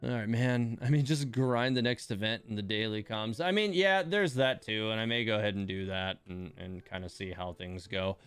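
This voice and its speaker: A man's voice: fairly low pitch, normal speed, few pauses, a bit bright, smooth. A man hosting a game livestream, chatting casually in a rambling, self-deprecating way. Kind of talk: casual livestream chat while playing a game.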